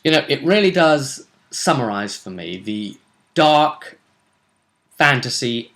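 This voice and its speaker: A man's voice: medium pitch, lively intonation, slow pace, fairly bright tone. A man, hosting a vidcast and giving a chatty, casual recap of a tabletop role-play tournament. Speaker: vidcast host.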